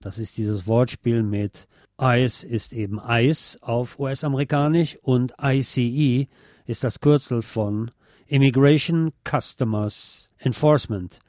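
A man's voice: medium pitch, fairly even intonation, takes it slowly, warm tone, dry recording, clean studio sound. A man reading a scripted political and music commentary as a presenter.

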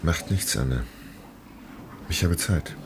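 Apologetic friend, low but animated intonation, hesitant, gentle, radio drama. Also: male voice, slow, noisy background, exaggerated voice. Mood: gentle concern. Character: apologetic, patient, concerned male friend. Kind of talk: naturalistic radio drama dialogue.

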